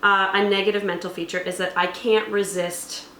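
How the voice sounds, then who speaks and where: A woman's voice: mid-range voice, steady tone, moderate pace, sharp tone. A woman co-hosting a tabletop roleplaying channel, explaining things in casual chat. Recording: roomy echo, faint background noise.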